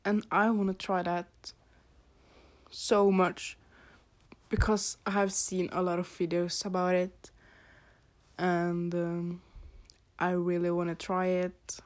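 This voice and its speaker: Young woman, norwegian accent, unhurried delivery, halting, casual podcast ramble. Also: lowish voice, level tone. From Norway. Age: teens or young adult. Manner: slow, halting, with fillers and soft laughs. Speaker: podcast host.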